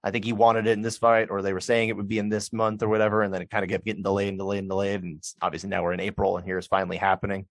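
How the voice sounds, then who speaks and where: A man's voice: fairly low pitch, flat delivery, no echo. A man co-hosting a podcast, talking casually and at length, often restarting his sentences. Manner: chatty, restarts often, puts on quick voices for others. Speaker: podcast co-host. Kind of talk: casual sports chat.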